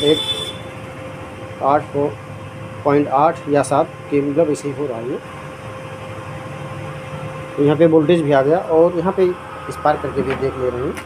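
A man with a somewhat high-pitched voice talks through a repair one step at a time, casual and practical. He sounds like he is working at a bench while he explains. The recording carries a clear hum of fans and machines from the workshop. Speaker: electronics repair technician. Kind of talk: casual repair tutorial narration.

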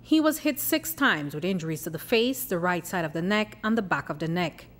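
Belizean lady news reporter, steady scripted voice-over, even and measured. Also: lowish voice. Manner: measured, even newsreader delivery.

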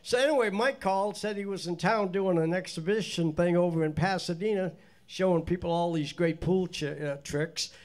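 Older male host with a head cold, high voice, rambling folksy stage patter. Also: normal speed. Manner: rambling, chatty, folksy with restarts. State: congested from a cold, stuffed-up nose. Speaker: bandleader and show host. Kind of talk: casual on-stage chat to a live audience. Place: small indoor stage studio. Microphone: stand mic on stage.

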